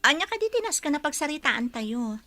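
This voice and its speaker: An earnest young daughter played by a woman in a radio drama. Her voice is high and very bright, recorded dry. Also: very animated, fairly quick, dry recording, heavily acted. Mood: apologetic, earnest. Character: young adult daughter in love, respectful, earnest. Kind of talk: Ilocano radio comedy drama acting.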